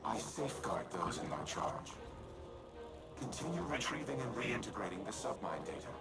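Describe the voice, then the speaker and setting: Low, gravelly machine war mind. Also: male voice, big pitch swings. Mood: grim resolve. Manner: measured, formal, declarative. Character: godlike artificial war mind, solemn, calculating male machine. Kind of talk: scripted video game dialogue. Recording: loud music under the voice.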